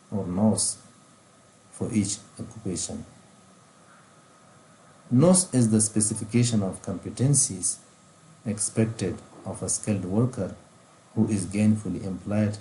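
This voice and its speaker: A man with an Afghan accent delivers a scripted lecture in a slightly low, very soft-toned and mellow voice. He is formal and deliberate, reading phrase by phrase. There is faint noise behind him. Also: low-quality recording. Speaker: vocational training specialist. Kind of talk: scripted lecture narration over slides.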